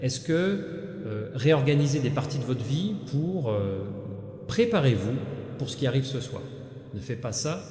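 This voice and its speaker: A man's voice: medium pitch, sing-song, unhurried, dark tone. Echoey man delivering solemn spiritual narration. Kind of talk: scripted inspirational monologue addressed to the listener. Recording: echoey hall with long reverb.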